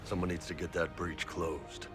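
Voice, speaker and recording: Big horned merc boss from a fantasy game, real deep voice, bluff n jovial, music behind. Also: male voice, steady tone, moderate pace, a bit bright. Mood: frank, serious. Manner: blunt, informal, jovial. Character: big horned male Qunari mercenary boss, bluff and candid. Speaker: mercenary captain and self-declared spy. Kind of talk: video game character dialogue.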